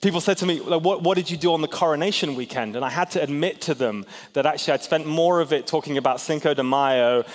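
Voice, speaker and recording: A man's voice: a little high, fast, hardly any pauses. Guy in his 30s from hong kong preaching, chatty and jokey, big echoey church hall. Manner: chatty, self-deprecating, asks the crowd questions. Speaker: pastor. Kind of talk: sermon. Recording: long hall reverb.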